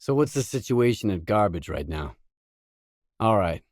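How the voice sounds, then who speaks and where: An actor playing a weary, laconic stalker in a video game, a hardened loner with a terse, guarded, deadpan delivery. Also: male voice, lowish voice, moderate pace, dry recording, clean studio sound. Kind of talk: isolated game dialogue lines.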